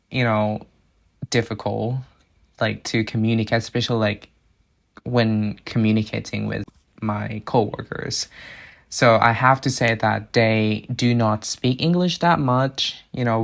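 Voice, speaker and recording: Gravelly-voiced young man, earnest. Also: fairly low pitch, fairly bright tone. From Thailand. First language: Thai. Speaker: international student and part-time English teacher. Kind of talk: casual personal monologue to camera.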